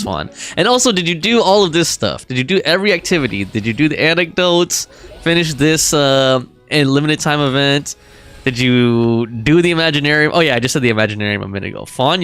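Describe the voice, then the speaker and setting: A man's voice: fairly high pitch, a bit bright. A man livestreaming a game, chatting casually with viewers and reading their messages aloud.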